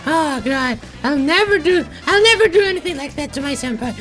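A woman gives casual let's play commentary in a very high voice. She is jokey and exclamatory and swears freely, with some music behind her. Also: animated, fairly quick, a bit bright, poor audio. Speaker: gaming video host. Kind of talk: casual let's play commentary, partly playing along in character.

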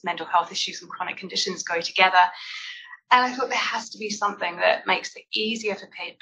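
An Australian woman in her fifties, a clinician and founder, presenting her company's story in a measured way. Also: lowish voice, sharp tone, a little hiss or hum. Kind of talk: business presentation. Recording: dull lo-fi event audio.

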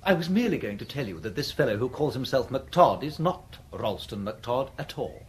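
An actor playing a pompous, aggrieved private secretary with an English accent, speaking in a stiff, formal way in a radio comedy. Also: male voice, mid-range voice, sing-song, fairly quick, slightly rough. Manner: formal, stiff, self-important. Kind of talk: radio comedy drama acting.

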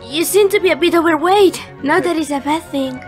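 A woman's voice: very high-pitched, fairly quick, faint music behind. A woman voicing a naive, devoted maid girl with an innocent, blunt manner in a parody cartoon. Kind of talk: animated parody cartoon acting.

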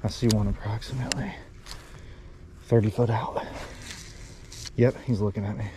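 A man's voice: lowish voice, steady tone, moderate pace, mellow, slight background noise. A man competing in a casual fishing video, talking in hyped slang with short repeated exclamations. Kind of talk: casual outdoor vlog chat.

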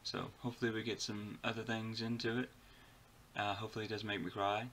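A young man with a fairly low, bright voice talks casually as he reacts to a video. He sounds tired, and faint noise sits behind him. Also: little pitch movement, quick pace. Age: young adult. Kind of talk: casual live reaction commentary. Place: small bedroom, indoors.

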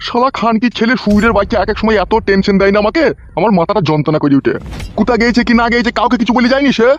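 A man's voice: high voice, rapid-fire, natural voice. A man voicing an exasperated, foul-mouthed village father-in-law in a comedy cartoon. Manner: blustering, exasperated. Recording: muffled audio with music underneath.

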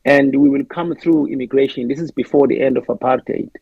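A man's voice: mid-range voice, fairly quick, slightly rough, dry recording, phone-quality sound. A man with a South African accent, speaking as an interview guest in a thoughtful, hesitant way with many restarts. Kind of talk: reflective interview talk.

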